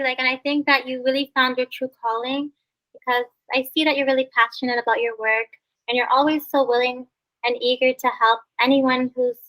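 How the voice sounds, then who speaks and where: A woman's voice: high voice, a bit bright, rough recording. A Canadian woman hosting an interview podcast, chatty and friendly, often saying "you know" and "like.". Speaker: podcast host.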